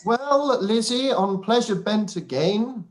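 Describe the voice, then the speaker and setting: A man's voice: high voice, lively intonation, slow pace. A man playing a dry, teasing but fond elderly father in a period radio play. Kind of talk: radio play live read.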